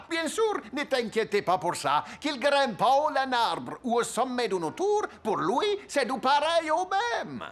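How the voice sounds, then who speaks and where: A man's voice: very animated, unhurried, hardly any pauses, fairly bright tone, full character voice. A man doing a giggly jolly cartoon chef. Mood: cheerful. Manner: bursts of high giggling laughter. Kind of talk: cartoon dub acting. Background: music.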